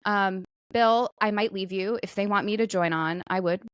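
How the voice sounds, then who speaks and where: Woman cohosting a podcast, casual jokey news chat, mid pitch, really dry sound. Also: moderate pace, smooth, no echo. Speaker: podcast co-host. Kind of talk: casual comedic talk about celebrity news.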